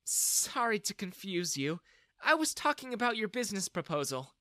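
A kind middle-aged mother who speaks softly and apologetically, as a man puts her on. The voice is raised high and bright. The recording is dry and close. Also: sing-song, normal speed, dry recording, heavily acted. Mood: gentle. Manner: soft, apologetic.

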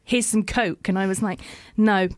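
A woman's voice: medium pitch, steady tone, moderate pace, no room sound, studio quality. A woman co-hosting a podcast who tells an amused story in a casual chat.

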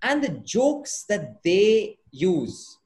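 Higher-pitched male podcast host. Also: big pitch swings, drawn-out words, a little mellow. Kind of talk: casual moderated discussion.

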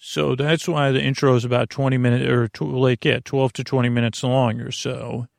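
A man with a heavily creaky, fry-laden voice delivers a meandering bedtime monologue as a podcast host. He speaks haltingly, drifting into digressions and circling back. The sound is very dry, with no room around the voice. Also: medium pitch, little pitch movement, no echo.

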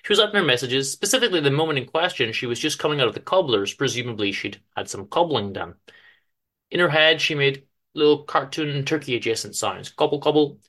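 A man's voice: medium pitch, animated, quick pace, sharp tone. A man giving a dramatic reading of his own story, with wry, informal asides.

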